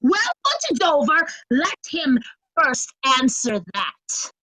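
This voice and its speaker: Woman as cruel nobleman, very slow, piercing. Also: high voice, wildly swooping pitch, sharp tone, dry recording, full character voice, low-quality recording. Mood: interrogating. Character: cruel, commanding nobleman Cornwall, sadistic interrogator. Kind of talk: Shakespearean readers' theatre.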